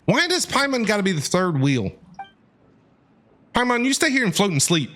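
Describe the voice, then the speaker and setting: A man's voice: high voice, sing-song, moderate pace, a bit bright. A man streaming a video game, playfully commenting and reading dialogue aloud in a theatrical, joking manner. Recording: game music behind the voice. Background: game music.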